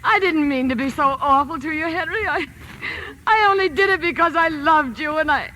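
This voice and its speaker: A woman's voice: high voice, a little fast, pushed and bright, slight background noise, low-quality recording. An actress playing a terrified, demanding bedridden wife in old-time radio drama, breathless and stammering, pleading as she goes. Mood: hesitant, remorseful.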